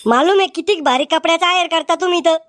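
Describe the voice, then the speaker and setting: A man voicing a blunt, mocking young village man in a cartoon, with a Varhadi Marathi accent and a pitched-up voice. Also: quick pace, a bit bright, no room sound, studio quality.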